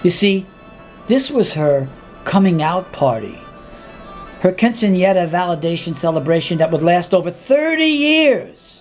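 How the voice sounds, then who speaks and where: A man reading his own dramatic monologue in a deliberate, intense spoken-word style, with weighty pauses and repeated words. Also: high voice, sing-song, faint music behind.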